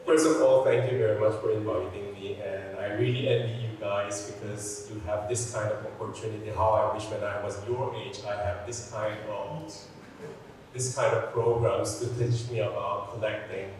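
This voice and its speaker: A man from the Philippines, an art collector, telling students how he started collecting. He talks haltingly, with restarts and "uh" fillers. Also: on the low side, fairly even intonation, recorded from a distance, noisy background. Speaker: art collector, guest speaker. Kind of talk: personal talk to students. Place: museum gallery hall. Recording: echoey hall, speaker off-mic.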